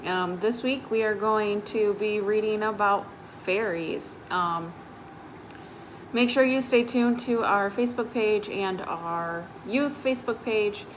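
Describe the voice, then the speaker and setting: A woman's voice: mid-range voice, slow, poor audio. Woman reading storytime, faint background noise. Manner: simple, explanatory, addressing young children. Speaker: librarian hosting a children's storytime. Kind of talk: picture-book read-aloud with informal asides. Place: live online stream.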